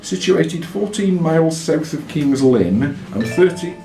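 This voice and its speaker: A man's voice: on the high side, lively intonation, unhurried, dark tone, a little hiss or hum. A man hosting a quiz, reading out questions in a live, informal way and judging the answers. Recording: roomy echo, faint music behind.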